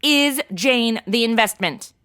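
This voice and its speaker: Breezy modern young woman sleuth, slangy and sarcastic, highish animated delivery for comedy audio drama. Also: slow, exaggerated voice. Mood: exasperated, protective. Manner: slangy, casual, deadpan asides.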